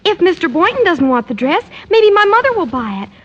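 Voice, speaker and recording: Polite helpful teen girl, super high voice, old muffled radio comedy. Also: sing-song, a little fast. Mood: eager, polite. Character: eager, polite teenage schoolgirl, the principal's daughter. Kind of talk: 1940s radio comedy acting. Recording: dull, noisy 1940s radio recording.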